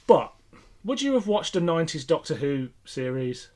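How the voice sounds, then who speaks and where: A man's voice: a little high, very animated, normal speed, darkish tone. A man co-hosting a podcast, chatting casually and informally with opinions to share. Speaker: podcast co-host. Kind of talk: casual chat reviewing a radio play.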